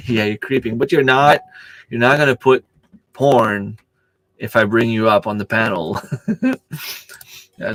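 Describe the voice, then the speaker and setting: A man's voice: fairly low pitch, dry recording, poor audio. A man whose first language is French, hosting a casual live stream. He searches for words, restarts his sentences and answers viewer comments as they come in. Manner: hesitant, searching for words with many fillers and restarts.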